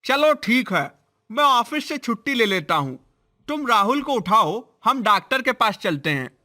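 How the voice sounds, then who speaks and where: A concerned, agreeable cartoon father, voiced by a man. His voice is strikingly high and very bright, and it moves in a lively way as he earnestly goes along with the plan. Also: unhurried, full character voice.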